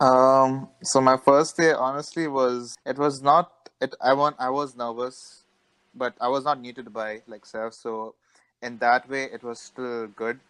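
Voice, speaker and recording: Smooth-voiced playful young man. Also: mid-range voice, level tone, a little slow, a bit bright, no room sound, poor audio. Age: young adult. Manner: playful, upbeat, joking. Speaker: podcast co-host. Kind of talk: casual chat.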